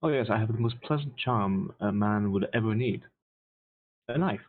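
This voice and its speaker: A man's voice: on the low side, moderate pace. A man playing a tabletop roleplaying game online, bantering playfully and flirtatiously in character. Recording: tinny online call audio.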